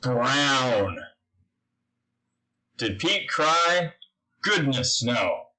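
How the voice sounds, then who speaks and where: A man's voice: medium pitch, big pitch swings, very slow, sharp tone. A man reading a children's picture book aloud, speaking simply and putting questions to his young listeners. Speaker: story reader.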